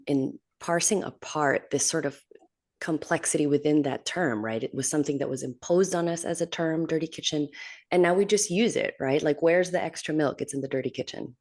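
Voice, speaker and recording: A woman author from the Philippines explaining her work on a panel in a thoughtful, articulate, measured way. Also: low-pitched. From born in the Philippines and raised there to age nine.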